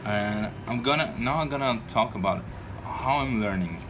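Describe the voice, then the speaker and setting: A man's voice: mid-range voice, normal speed, noisy background. A man in his twenties from Brazil, speaking English with a Brazilian Portuguese accent in a casual personal vlog, hesitant and shy, with frequent restarts. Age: 20s. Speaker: vlogger.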